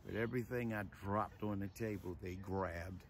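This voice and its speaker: A man's voice: low-pitched, fairly even intonation, normal speed, creaky, slight background noise. An older man in his sixties talking casually and in a laid-back way to viewers as a van life vlogger. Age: older, around 60s. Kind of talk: casual rambling vlog talk to viewers. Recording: music playing behind the voice. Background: music.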